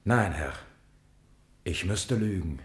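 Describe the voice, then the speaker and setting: Low-voiced, grim messenger, echoey. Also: male voice, expressive. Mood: deferential, reassuring. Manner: halting, grave, subdued. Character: grim, shaken, deferential soldier bearing bad news. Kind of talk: 1950s German radio play acting. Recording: echoey, roomy radio studio recording.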